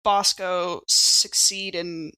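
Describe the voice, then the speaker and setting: A woman's voice: lowish voice, steady tone, extremely slow, bright, forceful tone, clear, resonant tone, no echo, rough recording. A woman chatting casually as a player in a tabletop role-playing game, thinking aloud about her moves.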